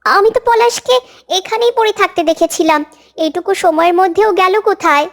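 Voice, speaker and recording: A woman's voice: very high voice, brisk, fairly bright tone. A woman voicing a brave, earnest little girl in a cartoon, talking boldly and pleadingly. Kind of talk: cartoon voice acting.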